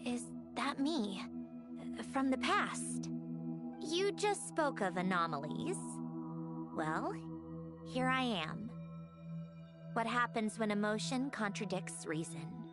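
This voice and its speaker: A woman's voice: a little high, sing-song, slow pace, pauses often, loud music behind the voice. A woman playing a prickly, guarded young female machine being in a video game, haughty and precise in her wording. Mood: wistful, pensive. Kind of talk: video game character acting.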